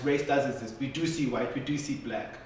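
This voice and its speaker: A man in his twenties from South Africa, a musician, giving measured and reflective answers in a documentary interview. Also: mid-range voice, very echoey, far from the mic, noisy background. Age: 20s. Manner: measured, reflective, argues calmly.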